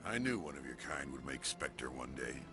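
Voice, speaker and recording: Extremely deep, sceptical game official. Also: male voice, big pitch swings, moderate pace. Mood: wary, sceptical. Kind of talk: video game dialogue. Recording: music over game audio.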